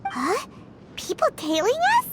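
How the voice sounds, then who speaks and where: A woman voicing a tiny, excitable, plucky fairy companion in a video game, with a squeaky childlike voice and exclamatory delivery. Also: a little slow, noisy background, full character voice. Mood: startled. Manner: exclamatory, indignant, naive. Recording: background music behind the voice.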